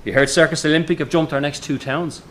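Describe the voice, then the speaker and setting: A man's voice: a little high, steady tone, quick pace, fairly bright tone, slight background noise, natural voice. A man playing a weary, irritable middle-aged circus boss in a radio drama, speaking in a curt, exasperated way. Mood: accusing, irritated. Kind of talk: naturalistic radio drama acting.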